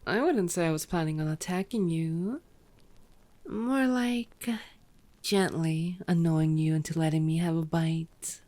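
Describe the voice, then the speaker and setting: A playful vampire woman, acted out by a female performer in an ASMR audio roleplay. Her voice sits a little on the low side. She is sardonic and flirty, bantering with cocky, teasing hunger. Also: measured pace, dark tone. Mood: playful pleading.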